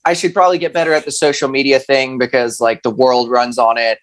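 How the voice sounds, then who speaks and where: Halting, casual man with a mid-range voice. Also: medium pitch, little pitch movement. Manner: halting, self-deprecating, conversational. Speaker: musician, podcast guest. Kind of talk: casual chat.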